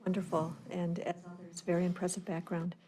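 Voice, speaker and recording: A woman's voice: on the low side, completely flat delivery, fairly quick, warm tone. A woman chairing a formal public meeting in a courteous, procedural manner. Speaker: committee chair.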